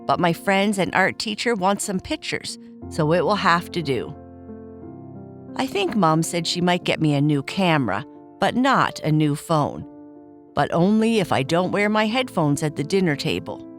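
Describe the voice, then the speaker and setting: A woman voicing a chatty, excitable preteen girl who talks in a bubbly, upbeat way. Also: lowish voice, normal speed, soft background music. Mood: excited.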